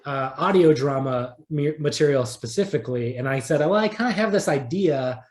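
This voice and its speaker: A man's voice: on the high side, expressive, brisk, darkish tone. A man being interviewed as a writer in a casual podcast chat, thinking aloud and rephrasing as he goes. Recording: roomy, echoey sound.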